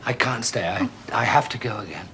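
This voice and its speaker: An actor doing a worn-out, troubled young man in a radio play, halting and earnest. His voice is low for a man, with a faint hiss behind it. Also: natural voice. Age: young adult. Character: haunted, exhausted young son. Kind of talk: radio drama acting.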